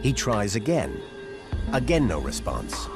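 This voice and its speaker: A man narrating a documentary from a script in a measured, suspenseful storytelling manner. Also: lowish voice, wildly swooping pitch, gravelly, loud music behind the voice.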